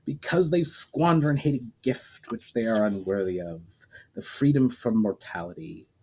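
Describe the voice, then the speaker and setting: A man's voice: fairly low pitch, animated, moderate pace, dark tone, slightly rough. A man reading a story aloud, voicing an ancient, scornful immortal demon who lectures coldly and derisively.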